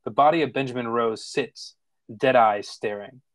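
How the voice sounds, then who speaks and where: A man reading screenplay stage directions as narrator, informal and slightly halting. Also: medium pitch, no room sound. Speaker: narrator of a screenplay table read.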